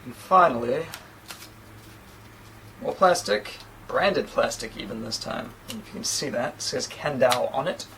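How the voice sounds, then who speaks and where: A man's voice: medium pitch, animated, unhurried, vocal fry, long pauses, bright, forceful tone, a little hiss or hum, rough recording. A man in his thirties with a New Zealand accent, chatting casually and rambling a little as he unboxes a gadget, recorded by a camera mic a few feet away in a small room. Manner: chatty, rambling, self-deprecating asides. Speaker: tech reviewer. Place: small indoor room against a plain sheet backdrop.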